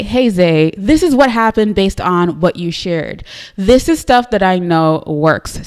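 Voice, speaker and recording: A young woman with a Trinidadian accent hosts a live stream and talks straight to her viewers. She sounds upbeat and chatty and keeps tagging "right?" onto her lines. Her pitch sits in the middle of the usual range. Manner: upbeat and chatty, often adds "right?", laughs easily. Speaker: host of a live business show.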